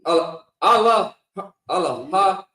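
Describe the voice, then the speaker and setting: Man reading verses real slow and halting, sounds far off in an echoey hall. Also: sits high, expressive, pushed and bright. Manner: halting, sounding out each word. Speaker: congregation member reading scripture. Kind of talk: slow scripture reading aloud.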